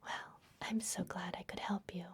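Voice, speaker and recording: A woman whispering gently and unhurriedly in a breathy voice, playing a kindly vampire librarian in an ASMR fantasy roleplay. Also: on the low side, level tone, moderate pace. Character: kindly vampire librarian hosting a human guest. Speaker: ASMR performer.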